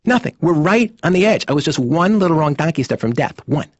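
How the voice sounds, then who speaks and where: A man's voice: fairly high pitch, expressive, fairly quick, no echo, lo-fi sound. A man playing an anxious therapy patient in a cartoon, telling an anecdote in stand-up comedy style. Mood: anxious, animated.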